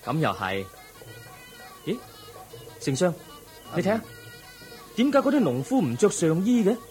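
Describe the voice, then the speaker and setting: A man's voice: high-pitched, wildly swooping pitch, unhurried, darkish tone, faint music behind, heavily acted. A man playing a thoughtful, compassionate young crown prince in a Cantonese audio drama, earnest and questioning. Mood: curious.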